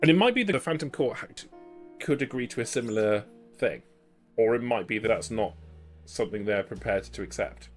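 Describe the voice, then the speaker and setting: A man's voice: lowish voice, expressive, fairly quick, faint music behind. A man acting as game master, explaining rules and lore in a tabletop roleplaying game.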